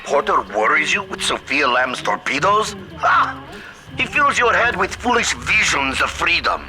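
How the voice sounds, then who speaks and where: A manic villain, wildly swinging pitch. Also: male voice, very animated, measured pace, over music. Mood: mocking. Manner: theatrical, erratic, grandiose. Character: manic, taunting male villain obsessed with predictions. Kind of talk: video game villain acting. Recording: noisy game audio with background ambience.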